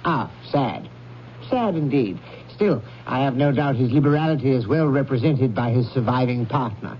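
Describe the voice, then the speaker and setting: An actor playing a courteous, solemn charity collector in a radio drama, with formal, measured speech. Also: male voice, mid-range voice, expressive, natural voice. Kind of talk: 1970s radio drama acting. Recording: dull, noisy 1970s radio recording.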